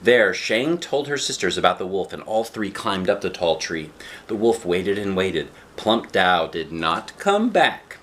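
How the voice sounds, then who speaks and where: A man reading a storybook aloud to a child, with dramatic drawn-out phrasing and casual asides. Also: low-pitched, very animated, fairly bright tone, a little hiss or hum. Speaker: dad reading a picture book to a child.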